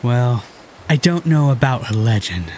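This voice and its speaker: A man's voice: medium pitch, lively intonation, a little slow, a little mellow, natural voice. A man plays a young hero in a fantasy audio drama. He is earnest and modest, with a natural mid-range voice over faint background noise.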